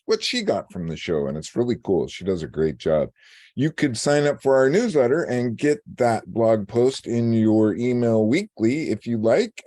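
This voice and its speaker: A man co-hosting a radio talk show, chatting casually and joking with a low voice. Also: mellow, no room sound, studio quality. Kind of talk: casual chat.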